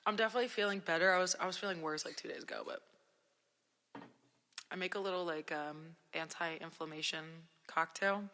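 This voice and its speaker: A young woman from New Jersey, an independent musician, chatting casually with viewers on a livestream, her voice worn and hoarse from a recent cold, talking in a relaxed, rambling way full of fillers. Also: fairly low pitch, fairly quick, big-room sound, slight background noise. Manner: laid-back, rambling, many "like" and "um" fillers.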